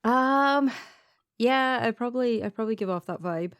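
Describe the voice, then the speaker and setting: A woman's voice: on the high side, moderate pace, fairly bright tone, no echo, clean studio sound. A woman co-hosting a podcast in casual chat, chatty with many fillers and frequent laughter. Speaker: podcast co-host. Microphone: headphones, studio mic.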